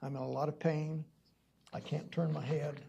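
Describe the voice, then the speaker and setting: A man with a stutter questioning bluntly and argumentatively as a council member at a public meeting, repeating himself haltingly. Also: fairly high pitch, level tone, creaky, dull audio. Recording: noisy public meeting room recording.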